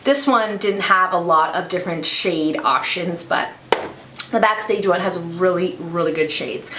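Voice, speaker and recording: A middle-aged woman with a raspy voice from a lingering cold, chatting through a product review as a beauty vlogger. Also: lowish voice, unhurried. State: getting over a cold. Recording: roomy echo, faint background noise.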